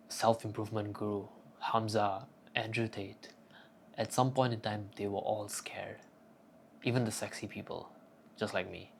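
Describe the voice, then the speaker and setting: A young man talks straight to camera with cocky, crude humor, handing out blunt advice. His voice sits low and carries a gravelly fry. Faint noise hums underneath. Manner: cocky, profane, joking bravado. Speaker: self-improvement vlogger. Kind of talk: casual crude advice monologue.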